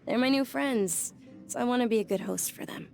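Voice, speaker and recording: Gentle young noble lady, soft polite voice, bit of fry, mellow tone. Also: fairly high pitch, a little fast, soft background music. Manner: soft-spoken, courteous, earnest storytelling. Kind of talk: video game cutscene voice acting.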